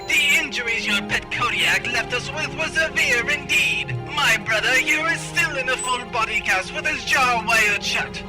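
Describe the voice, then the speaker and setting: An actor playing a gloating, melodramatic arch-villain baron in a comedic audio drama, pompous and given to shouting. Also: male voice, very high voice, very animated, normal speed, vocal fry, few pauses, over loud music, heavily acted. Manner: theatrical, shouting outbursts, pompous.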